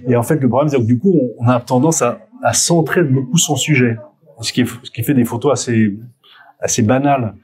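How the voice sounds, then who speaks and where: A man's voice: mid-range voice, a little fast, darkish tone. Middle-aged Frenchman reminiscing, hesitant and self-correcting. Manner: hesitant, self-correcting, with frequent word repetitions. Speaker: photographer being interviewed. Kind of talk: spontaneous interview answers, reminiscing.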